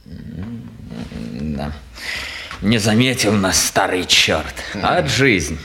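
A man's voice: low-pitched, big pitch swings, drawn-out words, creaky, sharp tone, slight background noise, exaggerated voice. A man playing a tipsy, cynical poser in a 1950s Russian stage drama, drawling in a pompous, dismissive way. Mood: disgruntled.

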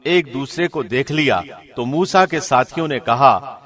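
A man reading a scripture translation from a script like a radio presenter, with formal, measured enunciation. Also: medium pitch, lively intonation, a bit bright.

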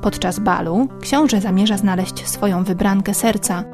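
Woman telling a fairy tale, medium pitch, clear plucked guitar music behind her. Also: fairly even intonation, moderate pace. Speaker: storyteller. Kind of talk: scripted fairy-tale narration.

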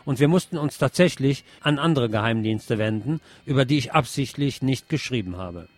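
A man narrating a scripted text, reading it aloud in a measured, report-like way. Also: mid-range voice, animated, dry recording. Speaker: narrator reading a translated interview.

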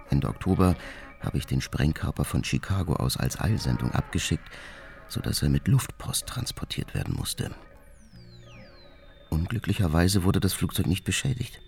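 An actor playing a cold, methodical serial bomber who recounts his attacks in a detached, matter-of-fact monologue for a radio drama. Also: full character voice. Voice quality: very deep, creaky. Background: music.

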